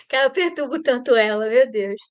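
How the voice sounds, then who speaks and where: A woman with a Brazilian Portuguese accent hosting a casual livestream, chatting playfully and greeting viewers by name. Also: high-pitched. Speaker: livestream host.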